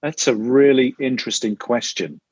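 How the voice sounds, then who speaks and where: A man from London with a London accent, a podcast guest telling his story casually, often restarting and pausing. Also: mid-range voice, darkish tone, breathy edge, dry recording, highs cut off. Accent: London English. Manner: hesitant, many restarts. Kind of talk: casual interview.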